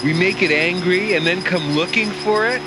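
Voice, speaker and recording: An actor playing a sarcastic, glib ghost hunter in an animated series. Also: male voice, sits high, moderate pace, very noisy, lightly acted. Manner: sardonic, glib. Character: wisecracking, sarcastic adult male cartoon ghost hunter. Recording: loud soundtrack music behind.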